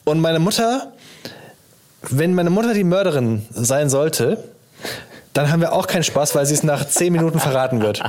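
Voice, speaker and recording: A man's voice: fairly high pitch, lively intonation, moderate pace, darkish tone. Informal man chatting as podcast co-host. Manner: informal, colloquial. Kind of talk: casual chat between friends.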